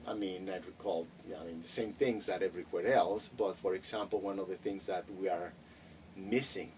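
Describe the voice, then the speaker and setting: A middle-aged Mexican-born man whose first language is Spanish, recalling his schooling in a relaxed interview with frequent hesitations and restarts. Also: sits low, normal speed. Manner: reflective, many hesitations and restarts. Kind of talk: casual interview. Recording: distant mic with faint noise.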